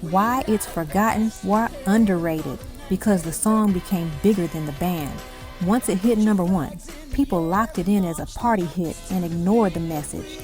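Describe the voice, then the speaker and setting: Mellow-voiced woman narrating a countdown. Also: on the low side, normal speed. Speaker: narrator of a music countdown video. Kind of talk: scripted documentary-style narration. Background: music behind the voice.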